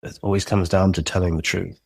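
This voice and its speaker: A man's voice: low-pitched, flat delivery, brisk, creaky, a little mellow, husky. A man speaking as a guest in a podcast interview, talking things through reflectively and thinking aloud as he goes. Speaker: podcast guest, audio drama creator. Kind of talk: casual conversational interview.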